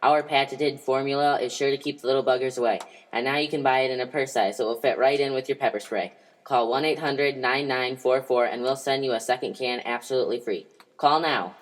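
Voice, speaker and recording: A man's voice: mid-range voice, steady tone, brisk. A man reading a mock radio commercial as the announcer. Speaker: advertising announcer.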